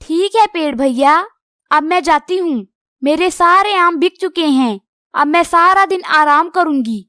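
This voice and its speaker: Woman voicing a tiny, very high-pitched polite bird. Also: expressive, a little slow, sharp tone, no room sound, clean studio sound, exaggerated voice. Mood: happy, grateful. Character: friendly, polite female little bird mango seller.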